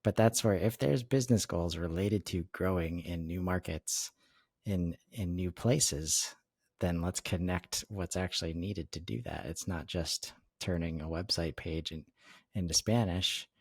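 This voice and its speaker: A man's voice: sits low, measured pace, breathy edge, no room sound, clean studio sound. A man co-hosting a business podcast, explaining things informally and conversationally, often restarting his phrases. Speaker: podcast co-host.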